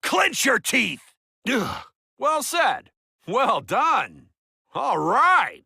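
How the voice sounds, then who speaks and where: A man's voice: very high-pitched, huge pitch swings, extremely slow, vocal fry, breathy edge, full character voice. A male actor playing a hot-blooded, stubbornly loyal young man in a dramatic, brash video game dub. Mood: determined. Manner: forceful, emotional, brash.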